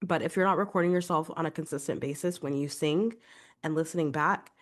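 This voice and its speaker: A woman's voice: deep voice, fairly quick. A young adult woman, a vocal coach, giving chatty, encouraging podcast advice with a congested voice. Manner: chatty, encouraging, fillers like "like" and "right?".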